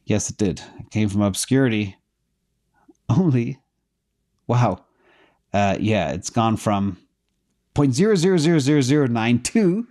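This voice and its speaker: Hoarse man thinking aloud on a livestream. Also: lowish voice, darkish tone. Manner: thinks aloud with fillers, checking data live. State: losing his voice. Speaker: host answering viewer questions. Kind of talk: casual livestream talk.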